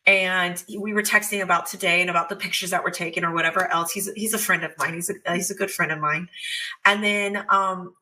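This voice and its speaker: Woman, slightly low voice with a bright, forward edge, confiding in casual conversation. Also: fairly even intonation, brisk, poor audio.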